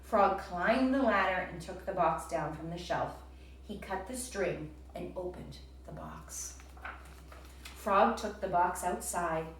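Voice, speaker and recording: Unhurried woman reading aloud, very echoey. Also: lowish voice, very animated. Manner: addresses young listeners directly. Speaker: teacher reading aloud to children. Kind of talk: storybook narration and comprehension prompts. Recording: roomy, distant, faint background noise.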